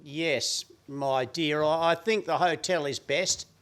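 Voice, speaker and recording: A man's voice: fairly high pitch, fairly even intonation, slow, fairly bright tone. A man playing a meek, hapless accomplice posing as an uncle in a comic radio play, hesitant and bumbling. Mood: meekly obliging.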